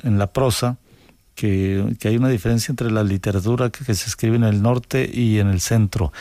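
A man from northern Mexico with a Mexican accent, a writer answering interview questions thoughtfully, pausing and restarting often. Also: medium pitch, mellow, no room sound. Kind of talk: conversational interview.

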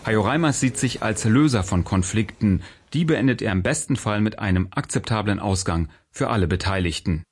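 A male reporter narrates a scripted radio piece. His voice sits somewhat low, in a report style. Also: expressive, brisk, slightly rough.

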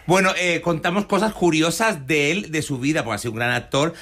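A man's voice: on the high side, brisk, bright, forceful tone. Stumbling, jokey radio man. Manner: rambling, stumbling and restarting, jokey. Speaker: radio show contributor. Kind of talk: casual radio chat.

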